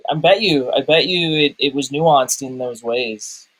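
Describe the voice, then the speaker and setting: A man's voice: medium pitch, measured pace, fairly bright tone, low-quality recording. A man talking casually about films as a podcast co-host, searching for words and rephrasing questions as they come. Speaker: film review podcast co-host. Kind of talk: casual movie discussion.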